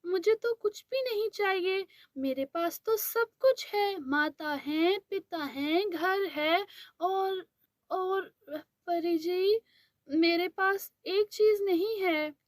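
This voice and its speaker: Cartoon heroine: a woman doing a gentle young girl, tearful and pleading, very high pitched, slow. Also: no room sound, studio quality, heavily acted. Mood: sad. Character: sobbing kind dark-skinned young girl.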